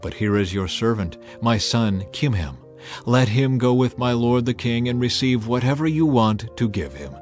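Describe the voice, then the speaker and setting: Male narrator as aged elder of eighty, weary and modest, biblical drama over soft music. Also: mid-range voice, animated, normal speed, lightly acted. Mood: weary, deferential. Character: weary, modest eighty-year-old wealthy man.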